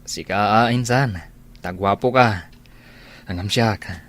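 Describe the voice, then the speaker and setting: A man's voice: lowish voice, unhurried, fairly bright tone. A man playing an earnest, meek middle-aged bachelor in a radio drama. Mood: defensive.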